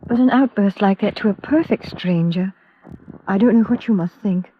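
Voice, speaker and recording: Woman playing a proper, mortified mother, medium pitch, old radio drama, muffled with a bit of noise. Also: moderate pace, natural voice. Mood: mortified, apologetic. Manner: proper, apologetic. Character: genteel, mortified, stern mother of a difficult daughter. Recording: dull, noisy vintage radio recording.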